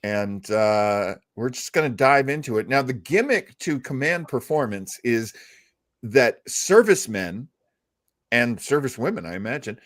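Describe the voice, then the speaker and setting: A man's voice: medium pitch, lively intonation, unhurried, a bit bright. Chatty man hosting a casual show. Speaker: host. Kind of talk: casual live show chat about old-time radio.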